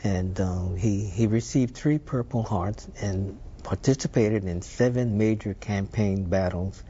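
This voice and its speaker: An older man raised in Hawaii, telling stories about his past in an unhurried, thoughtful way, with some fillers. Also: lowish voice, warm tone, dull audio, a little hiss or hum. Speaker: interview guest. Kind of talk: reminiscing interview.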